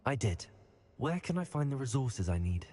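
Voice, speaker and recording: Young student wizard in a game, polite n keen, bouncy intonation, big hall reverb. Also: male voice, mid-range voice, sing-song, moderate pace, mellow. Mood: curious. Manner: courteous, earnest. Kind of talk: scripted video game dialogue.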